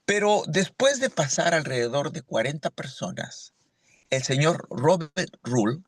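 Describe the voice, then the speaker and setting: A man's voice: on the high side, animated, a little slow, no echo, studio quality. A man from Mexico giving an earnest church sermon as a lay preacher, often asking "¿verdad?" to his listeners. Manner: earnest, with frequent rhetorical "¿verdad?".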